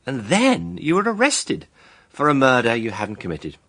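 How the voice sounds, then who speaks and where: An actor playing a self-assured, righteous accuser in a radio mystery drama, with emphatic, deliberate phrasing. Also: male voice, mid-range voice, huge pitch swings, fairly bright tone. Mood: accusing, certain. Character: righteous, self-assured male accuser laying out a murder case.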